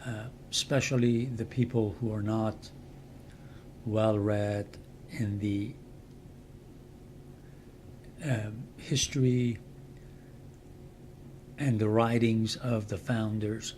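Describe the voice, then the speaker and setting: An older man with a Persian accent talks in a slow, unhurried way. His voice sits fairly low, and he gives measured explanations with pauses between phrases. A faint hum of room ambience runs behind him. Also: darkish tone. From Iran. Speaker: channel host and translator. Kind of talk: slow explanatory talk to camera.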